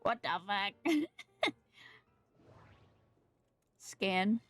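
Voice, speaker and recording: A woman's voice: mid-range voice, extremely slow, a bit bright, no echo. A woman streaming a video game, reacting casually and muttering playfully to herself over the game's music. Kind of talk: casual live gameplay reaction.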